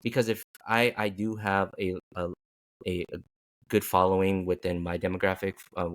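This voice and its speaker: A man's voice: low-pitched, level tone, normal speed. A man hosting a casual interview, rambling with many restarts and fillers. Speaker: podcast host.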